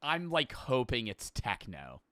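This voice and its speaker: Bright, crisp guy reacting excitedly. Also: mid-range voice, a little slow, no room sound, clean studio sound. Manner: excitable, swearing, riffing jokes. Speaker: streamer reacting to a video. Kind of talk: casual reaction commentary.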